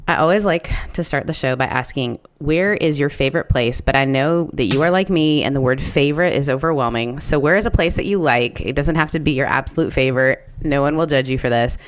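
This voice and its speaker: A woman's voice: a bass voice, a little fast, few pauses. A woman hosting a podcast, talking casually with a friend, chatty with lots of fillers and restarts. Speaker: podcast host. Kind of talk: casual chat.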